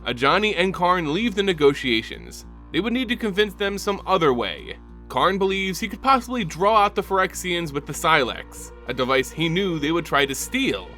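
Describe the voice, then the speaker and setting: A man narrating a fantasy game's story as a dramatic lore reading, in a lively storytelling manner. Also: high-pitched, normal speed. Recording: music bed underneath.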